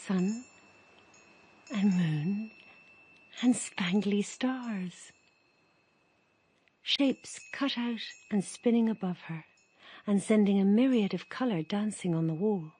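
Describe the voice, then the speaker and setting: Slow, breathy woman narrator. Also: mid-range voice. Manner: quiet, lyrical, measured. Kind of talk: scripted radio drama narration.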